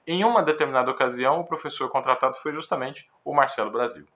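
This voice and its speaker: A man with a Brazilian Portuguese accent, a guitar teacher giving a casual, thoughtful talk with frequent pauses and fillers. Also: mid-range voice, pushed and bright. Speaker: guitar teacher reviewing a book. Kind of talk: casual spoken commentary.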